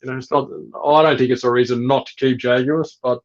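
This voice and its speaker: A man speaks at a middling pitch in casual, unscripted conversation. He is matter-of-fact and a little hesitant in his explanations. The recording is somewhat dull and lo-fi. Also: fairly even intonation, a little fast. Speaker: reptile breeder. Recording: slightly dull, lo-fi sound.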